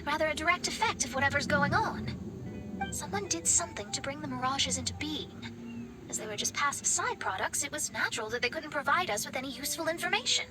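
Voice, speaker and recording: Game noblewoman: poised, formal, analytical, high voice, prominent music underneath. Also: animated, low-quality recording. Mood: thoughtful. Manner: eloquent, formal, measured. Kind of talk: video game voice acting.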